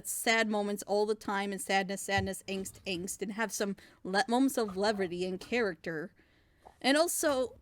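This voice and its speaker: A talkative woman giving frank, opinionated critique in a casual group chat. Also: medium pitch. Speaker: reactor on a group reaction show.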